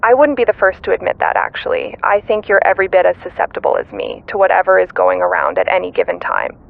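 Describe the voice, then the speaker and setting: A slighted female co-host in a radio drama, played by a woman, phoning in on a thin, tinny line. Her voice has some fry in it, and she sounds defensive, hesitant and mildly indignant. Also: fairly low pitch, very animated, quick pace, full character voice. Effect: phone line. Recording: tinny narrow phone line.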